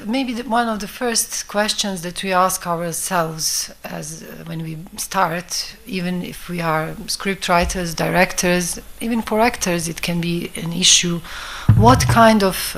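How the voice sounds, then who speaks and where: Low-voiced Bosnian woman, reflective. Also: a bit bright. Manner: reflective, halting phrasing in non-native English. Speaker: film director giving a talk. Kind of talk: informal public talk, conversational.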